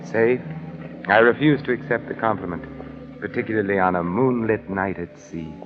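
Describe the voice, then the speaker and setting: An actor playing a suave, unflappable sleuth with urbane, dry, teasing wit, in a 1940s radio detective drama. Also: male voice, on the low side, moderate pace, music in the background, close to an everyday voice. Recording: muffled vintage 1949 radio recording.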